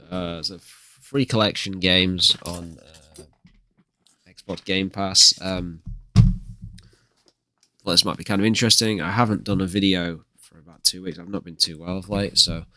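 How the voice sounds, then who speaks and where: A man with a slightly croaky voice from a cold, giving hesitant, rambling let's play commentary as a gaming video host. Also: low voice, fairly even intonation, measured pace, long pauses, pushed and bright, a little hiss or hum. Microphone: desktop USB microphone.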